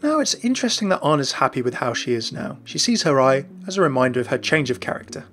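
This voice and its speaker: A man's voice: mid-range voice, big pitch swings, fast talker, a bit bright, soft background music. A man narrating a gaming lore video in a chatty, enthusiastic way. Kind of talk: scripted narration.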